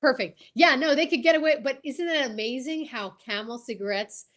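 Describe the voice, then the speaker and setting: A woman's voice: high-pitched, fairly quick, a bit bright. A woman hosting a show in casual livestream chat, talking in an enthusiastic, gushing way. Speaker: show host.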